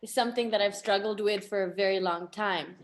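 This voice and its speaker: A woman's voice: mid-range voice, a little fast. Reflective woman on an echoey video call. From Tanzania. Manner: open, reflective, lots of fillers. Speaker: guest photographer. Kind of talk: casual discussion. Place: home room. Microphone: video call laptop camera. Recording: echoey room.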